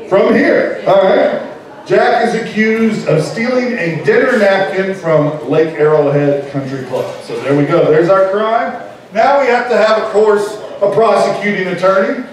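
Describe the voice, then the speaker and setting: A man hosting a live improv comedy show, prompting the audience and introducing the players. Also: high-pitched. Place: country club event room with audience. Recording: very echoey hall, distant mic, crowd noise.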